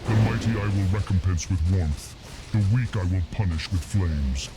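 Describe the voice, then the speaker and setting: A man's voice: sits low, normal speed, loud music behind the voice, lightly acted. A man voicing an ancient, stern nature spirit in a fantasy game, with stately, incantatory phrasing. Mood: menacing. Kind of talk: fantasy game cutscene dialogue.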